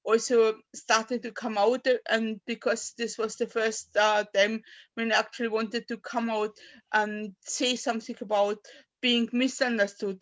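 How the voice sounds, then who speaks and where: A woman in her fifties, a native German speaker from Austria, talks haltingly about her life as a poet, with many fillers and restarts. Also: on the high side. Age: 50s. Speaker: poet at an open mic. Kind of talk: personal talk and poetry reading. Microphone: video call. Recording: dull lo-fi video call audio.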